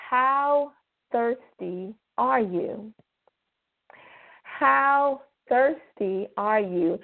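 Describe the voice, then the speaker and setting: Slightly hoarse woman on a phone line. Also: mid-range voice. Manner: chatty, drawn-out emphasis on key words. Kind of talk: casual devotional radio talk. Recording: phone-line call-in radio.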